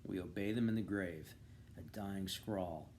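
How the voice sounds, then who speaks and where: A man giving an expressive, animated reading of a novel, acting out the dialogue. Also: low-pitched, measured pace, a little hiss or hum. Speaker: volunteer reader. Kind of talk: expressive literary audiobook-style reading.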